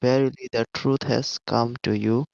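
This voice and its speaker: Bengali-speaking guy from bangladesh calling in, flat and clipped, dull dry line. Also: medium pitch, moderate pace, gravelly, dry recording. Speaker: caller. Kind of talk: call-in debate. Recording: muffled dry call line.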